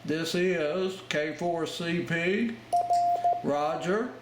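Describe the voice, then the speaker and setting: A man giving an informal ham radio lesson, talking in halting pieces as he explains a demonstration step by step. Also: on the high side. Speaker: amateur radio instructor. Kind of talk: informal instructional narration over a demonstration. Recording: roomy, loud noise, radio clicks and tones.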